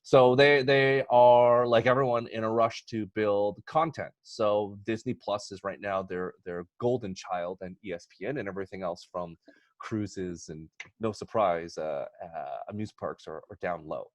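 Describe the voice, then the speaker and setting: A man's voice: fairly low pitch, moderate pace, dry recording. A man hosting a podcast in casual chat, with a jokey, rambling manner and frequent asides. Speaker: podcast host. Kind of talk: casual chat, introducing topics and pitches.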